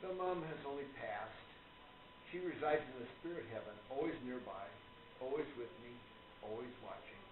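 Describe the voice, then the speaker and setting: Through loud noise and a thin, phone-like sound comes a man acting as a courtly gentleman author from a radio play. He speaks formally and earnestly, like a devoted believer in the spirit world expounding his faith. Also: medium pitch, moderate pace, reverberant, natural voice. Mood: fond, devout.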